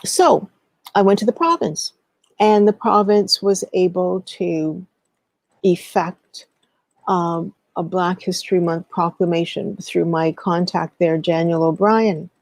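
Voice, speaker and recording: A middle-aged woman tells her story as an interview guest, her fairly low voice edged with creak. She is earnest and deliberate, often pausing mid-thought, and the sound is close and dry. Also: a little mellow, dry recording. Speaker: guest, historian and educator. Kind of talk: interview storytelling, recounting past work.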